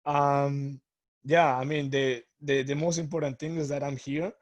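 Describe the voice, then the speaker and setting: A man's voice: medium pitch, level tone, unhurried. A man from Colombia, a soccer coach, speaking earnestly and gratefully in a casual interview.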